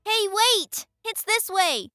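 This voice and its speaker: A woman as Wickston, high-pitched little candle creature. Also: expressive, slow pace, sharp tone, dry recording, studio quality, heavily acted. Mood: eager, confident. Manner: childlike, eager, innocent. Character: Wickston, small cheerful naive living candle creature, male. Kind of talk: audio drama acting.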